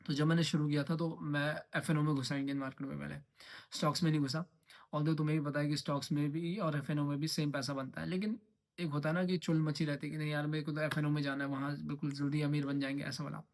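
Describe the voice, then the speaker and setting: A man's voice: fairly high pitch, little pitch movement, fast talker. A young man in his twenties from Uttarakhand, talking casually to camera in a rambling vlog and slipping English phrases in. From Uttarakhand, India. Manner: rambling, mixes English phrases, repeats himself. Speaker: trading vlogger.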